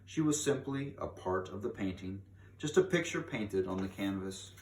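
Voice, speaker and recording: Male storybook reader, lowish voice, expressive delivery, recorded in a roomy space at a distance. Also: moderate pace. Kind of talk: expressive read-aloud of a children's story. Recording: echoey room, distant mic, faint noise.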